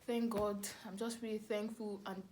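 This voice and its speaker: A woman's voice: medium pitch, level tone, normal speed, noisy background. A young woman with a Nigerian accent telling a personal story casually and expressively to camera, repeating phrases for emphasis. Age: young adult. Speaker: vlogger, storyteller.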